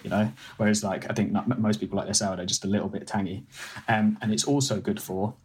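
A man's voice: fairly low pitch, steady tone, quick pace, a little mellow, a little hiss or hum. A young man, a food editor and ex-baker, explaining casually with lots of "like" and restarts. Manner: rambling, hedging with "like" and restarts.

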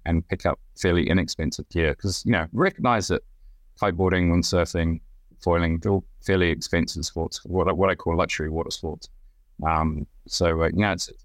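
A middle-aged man with a New Zealand accent speaks in a deep voice. He talks as a relaxed podcast guest, with a lot of fillers and restarts. The recording is dry and close. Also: dry recording. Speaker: guest, brand director. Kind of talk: casual podcast interview.

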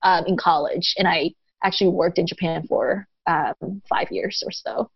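Woman from taiwan on a video call, lowish voice, slow and thoughtful with lots of pauses, dull dry lo-fi sound. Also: fairly even intonation, gravelly, slightly rough, dry recording. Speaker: guest. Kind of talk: casual interview conversation.

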